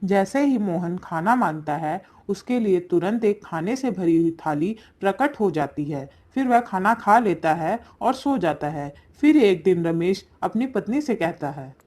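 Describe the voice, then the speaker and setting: Low-pitched woman narrating a fable. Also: expressive, unhurried, few pauses, darkish tone. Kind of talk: scripted storytelling narration for a cartoon fable.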